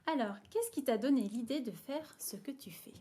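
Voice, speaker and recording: A woman conducts an interview in a casual, informal way. Her voice is fairly high, yet mellow and soft in tone. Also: lively intonation, moderate pace.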